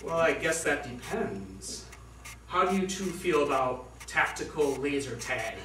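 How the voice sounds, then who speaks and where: A friendly, eager young groom played by a man in a stage comedy, high-pitched and very animated. The recording is echoey and distant. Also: a little slow, slight background noise. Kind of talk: amateur stage comedy acting. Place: small theatre, distant microphone.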